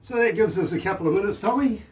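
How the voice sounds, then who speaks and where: A man's voice: high-pitched, expressive, fast, over music. A man with a New Zealand accent hosting a radio show, enthusiastic and fond of repeating phrases for emphasis. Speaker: radio host.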